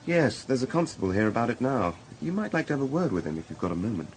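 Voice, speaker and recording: A man's voice: medium pitch, big pitch swings, quick pace, a little hiss or hum, close to an everyday voice, low-quality recording. A man acting a candid hospital doctor in a radio drama, British and conversationally matter-of-fact. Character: brisk, candid male hospital casualty doctor.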